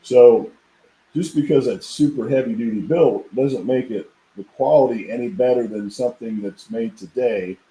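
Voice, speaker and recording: Hoarse man, middle-aged, rambling talk, very dark tone, echoey room. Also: mid-range voice. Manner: rambling, storytelling. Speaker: podcast guest, equipment designer. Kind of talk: casual chat.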